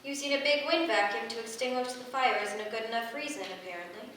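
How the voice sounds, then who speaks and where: An actress in a staged reading playing a sarcastic, blunt, quick-witted woman who is ready to argue. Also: a little high, fairly bright tone, close to an everyday voice. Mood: combative. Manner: sardonic, combative. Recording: echoey room, distant mic, faint noise.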